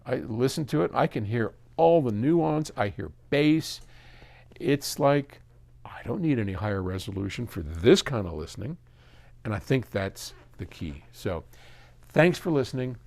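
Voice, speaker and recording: A man's voice: mid-range voice, vocal fry, darkish tone. A man talking casually as a host answering listeners, explaining things conversationally and thinking aloud, with emphatic stresses on key words. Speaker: record label host answering listener questions. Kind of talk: casual explanatory talk to camera.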